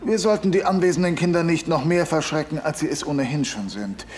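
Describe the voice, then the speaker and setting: Man as fairly high-voiced, dry police inspector. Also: darkish tone, close to an everyday voice. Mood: commanding. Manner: deliberate, authoritative, dry. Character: brisk, dry Victorian police inspector in charge. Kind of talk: audio drama acting.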